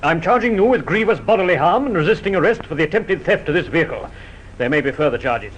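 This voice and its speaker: A man playing a stern inspector in a vintage radio play. He talks very quickly in a fairly high, animated, official voice on a muffled old recording. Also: slight background noise, lightly acted. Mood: stern, curt. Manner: curt, official. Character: curt, authoritative male police inspector. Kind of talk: 1950s radio drama acting.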